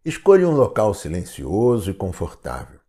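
A Brazilian man narrating a guided meditation in a calm, soothing, deliberate manner. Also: lowish voice, husky.

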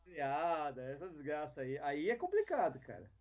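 A man's voice: fairly high pitch, very animated, normal speed, a little mellow, muffled recording. A man chatting casually as a podcast co-host, joking and chiming in with quips. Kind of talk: casual chat among running friends.

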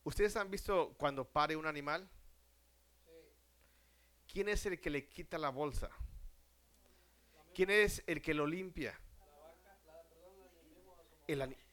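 Male preacher, sermon, slow measured delivery, smooth clear voice, rhetorical questions, trailing off softly. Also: fairly high pitch. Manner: asks the audience questions and answers them himself; slow and deliberate, drops to a hush at ends of phrases. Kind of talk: sermon to a congregation.

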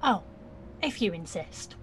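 A woman's voice: mid-range voice, big pitch swings, takes it slowly, faint music behind. An actress playing a sulky, defiant nineteen-year-old girl in an audio play, blunt and slangy. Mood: blunt, puzzled. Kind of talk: audio theatre acting.

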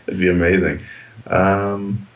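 A man's voice: low voice, little pitch movement, takes it slowly, highs cut off. A man co-hosting a movie podcast in casual chat, animatedly retelling scenes with relish. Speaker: podcast co-host.

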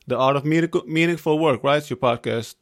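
A man's voice: a little high, quick pace. A man hosting a casual podcast interview, from Iran and raised in the Netherlands, friendly and hesitant, with lots of fillers and laughs. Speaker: podcast host.

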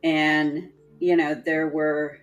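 Woman, low-ish voice, slow unhurried delivery, trained projecting voice, faint music behind, casual storytelling with frequent restarts. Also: flat delivery, muffled recording. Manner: slow, halting, many restarts.

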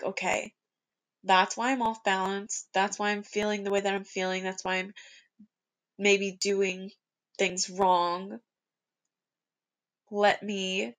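Young woman, podcast host, gravelly vocal fry, reflective solo talk, earnest. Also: medium pitch, a bit bright. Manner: earnest, self-reflective, speaks in rhetorical questions.

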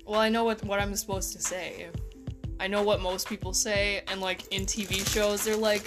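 A young woman co-hosting a podcast in casual chat, blunt and deadpan, teasing and swearing freely. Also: mid-range voice, moderate pace, over music. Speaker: podcast co-host.

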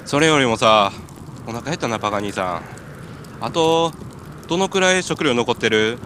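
A man's voice: mid-range voice, animated, normal speed, pushed and bright. A man with a Kansai accent playing a hungry, freezing, silly stranded climber in an amateur comic radio drama, with absurd earnestness. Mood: miserable. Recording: noisy amateur recording.

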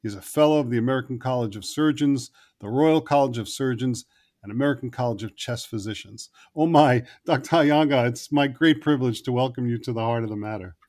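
A man's voice: mid-range voice, a little fast, a little mellow. A man hosting an interview podcast, speaking in a conversational but prepared way. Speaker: podcast host interviewing a guest.

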